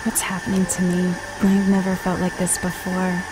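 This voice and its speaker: A woman's voice: on the low side, monotone, normal speed, lightly acted, low-quality recording. A woman playing an earnest, troubled TV star in an amateur audio play, hesitant and uneasy. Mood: anxious. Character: uneasy, bewildered TV star woman in surreal drama. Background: music.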